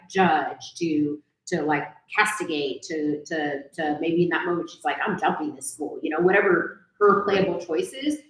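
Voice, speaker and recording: An American woman giving informal feedback in an animated way, acting out her examples. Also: fairly low pitch. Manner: animated, acts out example lines. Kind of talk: informal spoken critique. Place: indoor live event room. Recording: echoey live room.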